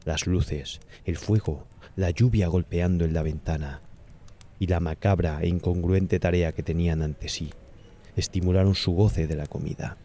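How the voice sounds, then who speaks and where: A man's voice: sits low, fairly even intonation, moderate pace, mellow. A man with a Castilian Spanish accent narrating a scripted horror story as an audiobook.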